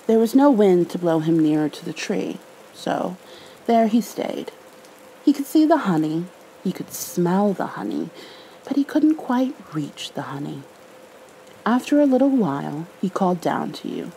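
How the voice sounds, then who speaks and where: A woman reading a storybook softly in ASMR style, with a gentle, motherly, soothing manner. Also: fairly low pitch, expressive, unhurried, creaky, a little hiss or hum, low-quality recording. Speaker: ASMR roleplayer, bedtime story reader. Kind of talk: soft ASMR storybook narration.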